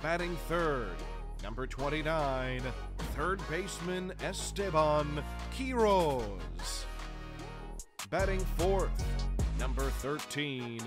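A man in his thirties with an American accent, announcing as a baseball public address announcer and stretching out names in a showman's delivery. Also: a little high. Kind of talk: scripted sports PA announcing. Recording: music behind the announcements. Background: music.

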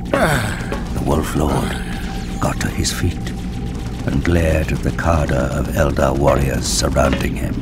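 A man giving dramatic, scripted narration of an action audio drama in a grave, measured storytelling manner. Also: very deep voice, expressive, over loud music.

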